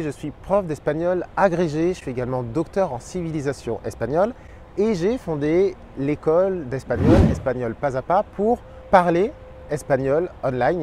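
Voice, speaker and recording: Energetic man teaching to camera outdoors. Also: fairly high pitch, a little hiss or hum. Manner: energetic, expressive, gestures with his hands. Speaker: Spanish teacher and channel host. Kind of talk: talking to camera, explaining tips. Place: outdoors by a pond in a park. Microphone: lapel mic.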